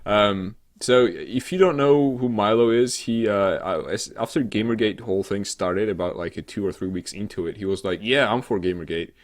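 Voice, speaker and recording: A man co-hosting a casual, sweary comedic news chat in a halting, rambling, sarcastic way. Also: lowish voice. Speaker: co-host of a weekly games show.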